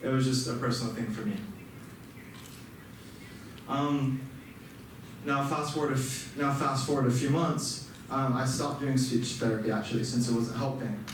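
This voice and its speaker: A man's voice: medium pitch, steady tone, moderate pace, pauses often, slight background noise. A young man who stutters, giving an earnest personal speech to an audience, with repeated sounds and restarts. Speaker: student speaker. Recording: echoey hall, mic far off.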